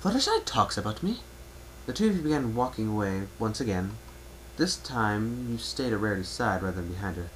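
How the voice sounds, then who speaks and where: Casting: male amateur storyteller, lowish voice, scripted narration, faintly noisy home recording. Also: expressive, a little fast, hardly any pauses. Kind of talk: dramatic reading of fan fiction, second-person narration.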